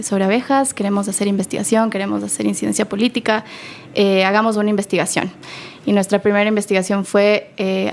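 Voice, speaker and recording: A young woman who talks as a guest on an interview show, warm and halting, with many fillers. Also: mid-range voice, vocal fry, a little hiss or hum. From Ecuador. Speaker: guest, activist for pollinators. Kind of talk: interview answers.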